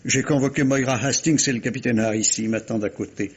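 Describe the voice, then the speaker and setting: A man's voice: medium pitch, steady tone, quick pace, husky, close to an everyday voice. A man playing a patient, methodical police inspector in 1950s French radio mystery style, probing and sometimes hesitant. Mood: cautious, explanatory. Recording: muffled vintage radio drama recording.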